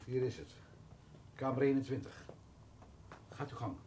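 An actor playing a nervous, obliging hotel employee in a radio play, anxious and deferential, with some stammering. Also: male voice, medium pitch, lively intonation, a little slow, dark tone, a little hiss or hum, natural voice.

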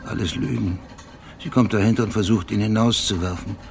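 Low, gravelly, obsessive police detective. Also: male voice, fairly even intonation, faint music behind. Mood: grim, precise. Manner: measured, deliberate, terse phrasing. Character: obsessive male police detective, precise brooding narrator haunted by memory. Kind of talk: radio drama monologue.

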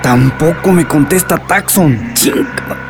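Fugitivo irritable entre música. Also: male voice, fairly high pitch, takes it slowly, over music. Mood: agitated, scheming. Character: scheming, cornered male fugitive, irritable and foul-mouthed. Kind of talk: radio drama acting.

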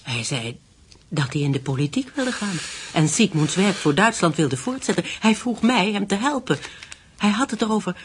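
An actress playing an anxious, determined widow of a politician in a radio drama, speaking with intense, hesitant emphasis. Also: female voice, mid-range voice, sing-song, normal speed, a little hiss or hum, natural voice. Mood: shaken, indignant.

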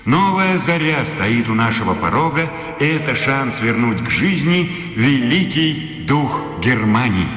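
A man's voice: mid-range voice, big pitch swings, a little slow, few pauses, a bit bright. An actor playing a stern, authoritarian male professor and rector in a radio play, with a formal, declamatory delivery. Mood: fervent, solemn. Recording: long hall reverb, music underneath.

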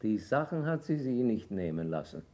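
A man's voice: on the low side, sing-song, normal speed, dark tone. An actor playing a solemn man of the army camp in a radio drama. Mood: grave. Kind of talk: German radio drama acting.

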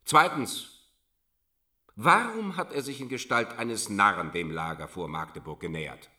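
An actor playing a suspicious, threatening regimental judge from the Thirty Years' War, questioning formally and pedantically in a radio play. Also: male voice, on the low side, lively intonation, measured pace, sharp tone, far from the mic, heavily acted. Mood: stern, methodical. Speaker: military magistrate interrogating a prisoner.

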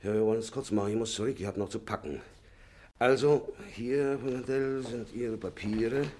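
An actor playing a hurried, businesslike wartime official in a 1950s German radio play, clipped and perfunctory. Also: male voice, mid-range voice, darkish tone, close to an everyday voice. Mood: brisk, formal. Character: hurried, brisk Nazi-era official handing over an estate. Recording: faint noise, 1950s radio recording.

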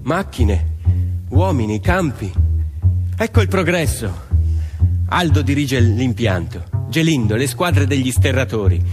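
A man acting an earnest peasant farmer who tells his family's story in expressive theatrical narration, voicing others as he goes. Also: mid-range voice, a little slow, pauses often. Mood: proud, brisk. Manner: expressive, dramatic storytelling, voicing quoted neighbours and relatives. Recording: long reverb, music behind.

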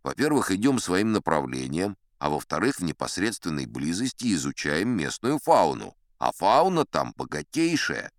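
A genial old sea captain tells tall tales in a very deep voice. His delivery is folksy and wry, with lively, swooping intonation. It is a close, dry studio audiobook sound. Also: normal speed, hardly any pauses, no echo. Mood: wry, relishing. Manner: folksy, wry, conversational raconteur. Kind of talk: dramatised audiobook storytelling.

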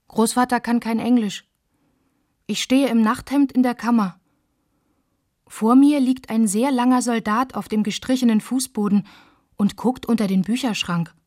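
A woman's voice: on the high side, darkish tone, dry recording, studio quality, natural voice. A woman playing an observant young girl who recalls wartime in first-person radio play narration.

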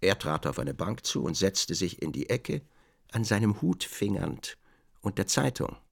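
An actor playing a measured literary narrator, delivering descriptive scripted narration in a radio drama. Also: male voice, low voice, very animated, gravelly, heavily acted. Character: measured male literary narrator observing closely.

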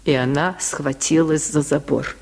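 A male storyteller reads classic literature for radio. His voice sits fairly high for a man, and he takes his time, measured and expressive, shaping the tale dramatically. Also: creaky.

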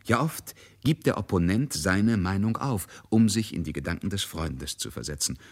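A man's voice: sits low, lively intonation, moderate pace. A man narrating a literary German radio drama.

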